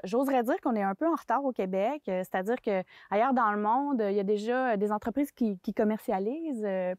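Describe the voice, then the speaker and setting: A Québécois woman explains a whole industry sector in an interview, stopping often for fillers and restarting her sentences. Her voice sits a little high. The sound is close and dry, with almost no room around it. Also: darkish tone, no echo. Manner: hesitant, many fillers and restarts. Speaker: mycology sector expert.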